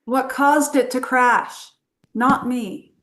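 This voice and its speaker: A woman playing a flirtatious, knowing adventurer professor in a script table read, with a teasing, unhurried delivery. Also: sits high, steady tone, fairly bright tone. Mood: brisk.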